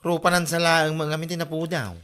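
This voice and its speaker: A woman's voice: deep voice, little pitch movement, a little fast, fairly bright tone. A woman playing a sulky blunt daughter. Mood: dismissive. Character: sulky, sharp-tongued grown daughter. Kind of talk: village comedy drama acting.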